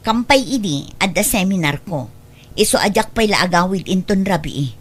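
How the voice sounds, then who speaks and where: A woman's voice: medium pitch, expressive, normal speed, husky. A woman playing a caring, sensible grown daughter who runs the household, in a radio drama. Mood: caring, concerned.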